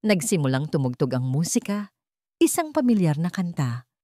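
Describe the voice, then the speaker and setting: Female narrator for scripted romance drama, lowish voice, very dry studio-close sound. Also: big pitch swings, moderate pace, warm tone, dry recording. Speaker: storyteller.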